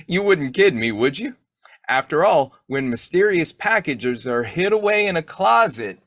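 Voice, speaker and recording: An actor playing a boyish, wheedling, wisecracking husband in a 1940s-style radio comedy, with a folksy, exclamatory delivery. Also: male voice, a little high, big pitch swings, unhurried, a bit bright, no echo, heavily acted. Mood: sly, grumbling.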